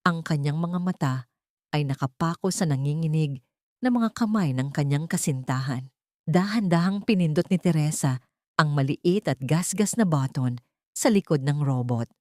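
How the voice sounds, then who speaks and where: Low-voiced Filipino woman narrating drama. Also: lively intonation, normal speed, warm tone, dry recording, studio quality. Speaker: audiobook narrator. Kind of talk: dramatic scripted story narration.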